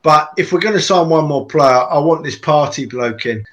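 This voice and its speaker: Emphatic animated Englishman. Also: a little high, moderate pace. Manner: animated, emphatic, repeats himself for effect. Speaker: podcast co-host. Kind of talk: casual football chat.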